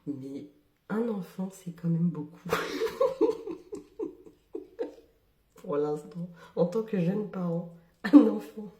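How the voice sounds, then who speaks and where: Young French woman, very dark mellow tone, wry humor, frequent laughs and whispers. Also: lowish voice, reverberant. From metropolitan France. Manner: ironic, laughs often, drops into whispered asides. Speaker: social media creator. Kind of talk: casual monologue to camera.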